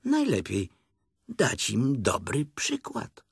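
A man voicing a good-natured, simple teddy bear in a children's story, speaking in an unhurried way. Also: medium pitch, huge pitch swings, creaky, breathy edge, dry recording, clean studio sound, voice pushed up, exaggerated voice. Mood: gentle, good-natured. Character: good-natured, slow-witted, hungry male teddy bear.

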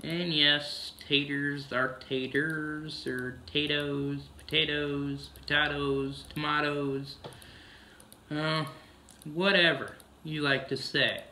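A man's voice: on the high side, flat delivery, takes it slowly, sharp tone, a little hiss or hum. A man casually narrating a farming video game, humming "mm-hmm" between steps, recorded on a camera across a room. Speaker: gameplay narrator.

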